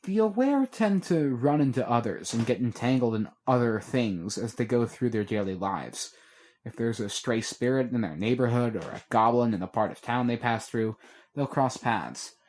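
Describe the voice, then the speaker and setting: A guarded, weary young man, read by a man in an audiobook, laying out dangers in a slow, measured way. Patient but worn down, he talks like someone carrying too much. Plain everyday voice. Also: mid-range voice, lively intonation, a little mellow, close to an everyday voice. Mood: earnest.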